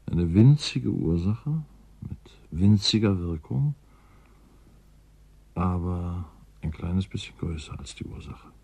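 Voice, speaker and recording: A scientist in a German radio drama, with a deep voice that has a rough, husky grain. He is courteous and dryly ironic, and he talks like someone absorbed in his own thinking. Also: mellow, dry recording, full character voice. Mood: musing. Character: older male climate scientist, wry, courteous, absorbed in theory. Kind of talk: German radio drama acting, naturalistic dialogue.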